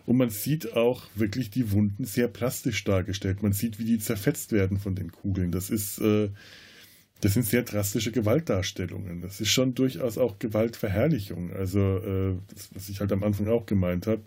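Husky, mellow man with a low voice. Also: steady tone, normal speed. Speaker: podcast host. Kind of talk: casual film discussion with scene retelling.

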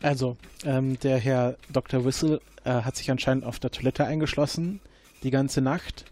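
A man's voice: mid-range voice, steady tone, moderate pace, darkish tone. Hesitant man questioning a suspect. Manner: hesitant, many fillers, interrogating. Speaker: player. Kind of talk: playing an investigator in a tabletop game.

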